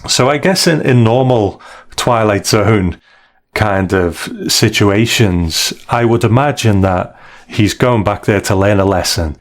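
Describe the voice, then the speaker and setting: A man co-hosting a casual podcast discussion, analysing stories in a measured, thoughtful, hedging way. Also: on the low side. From outside the United States. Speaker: podcast co-host.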